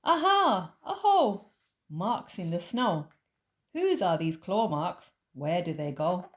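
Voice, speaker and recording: A woman voicing the brave, curious young child of a storybook monster, calling out with high excitement. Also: slow pace, exaggerated voice. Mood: excited.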